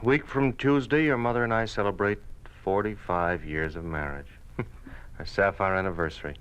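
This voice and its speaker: An actor playing an earnest, steady grown son in an old-time radio drama, speaking in a measured, reassuring way. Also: male voice, low voice, smooth, low-quality recording. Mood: warm, reading aloud.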